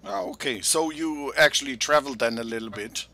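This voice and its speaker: A man's voice: medium pitch, a little fast, sharp tone. A middle-aged man hosting an interview over a video call on a headset mic, asking plain questions with frequent fillers. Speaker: interviewer, host.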